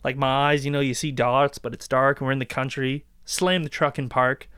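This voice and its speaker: A man's voice: mid-range voice, little pitch movement, normal speed, pushed and bright, smooth, no echo, clean studio sound. A man, an informal podcast guest telling anecdotes in short, clipped fragments. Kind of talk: casual chat, anecdotal storytelling.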